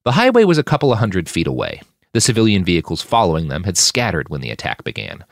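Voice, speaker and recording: Low-pitched man narrating dramatic fiction. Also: fast, dry recording, studio quality. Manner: expressive, shouts out characters' lines. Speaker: audiobook narrator reading own fiction podcast.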